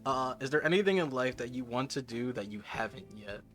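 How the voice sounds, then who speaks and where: A man's voice: medium pitch, lively intonation, brisk, a bit bright. A man hosting a casual interview, chatting in a laid-back, agreeable way and laughing easily. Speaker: interviewer, host.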